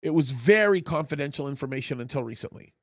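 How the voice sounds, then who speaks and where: A man's voice: animated, fast talker, no echo, clean studio sound. A man hosting a live talk show as a pastor, arguing his points emphatically and often repeating words as he starts a thought. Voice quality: high-pitched voice.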